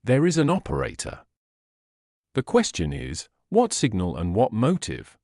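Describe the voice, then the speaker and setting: A man voicing a coolly analytical Victorian gentleman detective in an audiobook, speaking in a precise, erudite, dry manner. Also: mid-range voice, wildly swooping pitch, a little slow, no room sound, heavily acted. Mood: analytical, assured. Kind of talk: audiobook character voice.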